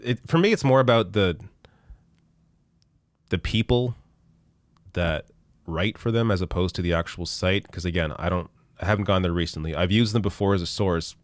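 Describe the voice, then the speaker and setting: Deep-voiced hoarse man, blunt livestream host. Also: normal speed, long pauses, dry recording. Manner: blunt, profane, frequent restarts and fillers. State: hoarse, losing his voice. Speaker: political show host reading viewer chat. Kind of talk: casual live-stream commentary.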